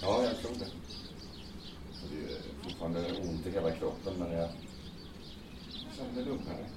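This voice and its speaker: Male actor as earnest troubled man, low voice, hesitant delivery, noisy distant recording. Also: expressive. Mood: sad, subdued. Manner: hesitant, repeats himself. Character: troubled, sad, earnest man recovering from despair. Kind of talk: naturalistic audio drama acting. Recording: echoey, distant, noisy with birds.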